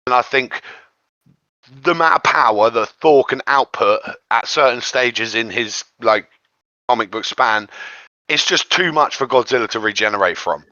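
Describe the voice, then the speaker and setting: Man, forceful bright voice, casual geek chat, rambling, emphatic, dull dry recording. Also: mid-range voice, moderate pace, dry recording. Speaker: co-host of a geek discussion show. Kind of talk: casual chat about comic-book fiction.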